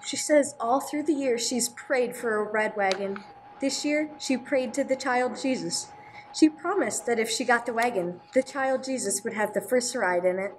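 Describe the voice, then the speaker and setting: A woman acting as a gentle, patient nun with a high voice. She explains and translates in a radio play, over clear background noise. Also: moderate pace, natural voice. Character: gentle church sister translating for a child. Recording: clearly noisy amateur recording.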